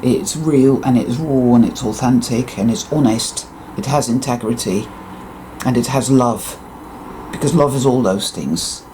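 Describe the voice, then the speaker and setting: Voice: medium pitch, a little slow, dark tone, a little hiss or hum, poor audio. A speaker with a Dutch accent, a native Dutch speaker, gives an intense, profane, emphatic monologue to viewers, repeating words for emphasis. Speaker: spiritual vlogger.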